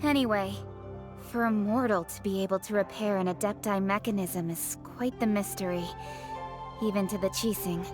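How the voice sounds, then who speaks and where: A woman voicing a proud, candid young official in a video game, speaking briskly and formally with self-assurance. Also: mid-range voice, fairly even intonation, natural voice. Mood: composed, authoritative. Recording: music behind the voice.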